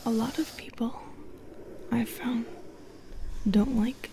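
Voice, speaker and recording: A sly female monster, a Medusa, acted by a woman, whispers very slowly in an intimate ASMR roleplay. The voice is fairly high and breathy with some creak, drawing out each taunt as if toying with prey. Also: fairly even intonation, background noise. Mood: sly, patient. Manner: taunting, coaxing, drawn-out delivery. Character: sly, teasing, predatory female Medusa with long nails.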